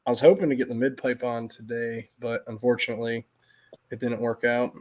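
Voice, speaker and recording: A man's voice: mid-range voice, fairly even intonation, fairly quick, crowd noise. A middle-aged man with an American accent chats casually on a livestream, telling stories in a rambling way and often interrupting himself. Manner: rambling, self-interrupting storytelling.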